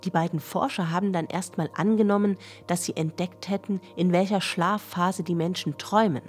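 Woman as a lowish voiced science explainer for kids, matter of fact, teachy, music underneath. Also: fairly even intonation, moderate pace, darkish tone, close to an everyday voice. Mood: instructive. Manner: clear, didactic, addressing listeners directly. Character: female science explainer addressing children, matter-of-fact, instructive. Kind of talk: children's radio play, explanatory narration.

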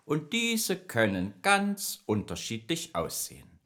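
A man's voice: medium pitch, wildly swooping pitch, slow pace, fairly bright tone, full character voice. A man voicing a friendly, chatty nightmare spirit who patiently explains dreams in a children's radio play. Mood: explaining. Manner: explains patiently, evasive then informative.

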